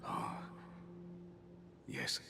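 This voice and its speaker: An actor playing a guilt-ridden, devout family servant in a game, speaking in an earnest, deferential and hesitant way. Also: male voice, drawn-out words. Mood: guilty. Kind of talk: scripted game voice acting. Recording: long reverberant hall, loud music behind.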